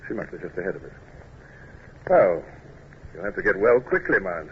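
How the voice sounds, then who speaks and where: An actor playing a weary, proud British naval commander who is gruffly kind, with hesitant, clipped speech and throat-clearing, in old-time radio drama style. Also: male voice, deep voice, sing-song, moderate pace. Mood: encouraging. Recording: muffled noisy old radio recording.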